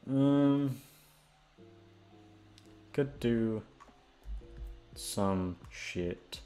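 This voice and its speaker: A man's voice: medium pitch, drawn-out words, mellow, soft background music. A young man chatting off the cuff to his listeners, rambling and hesitant, with casual swearing. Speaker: ASMR audio creator, voice actor.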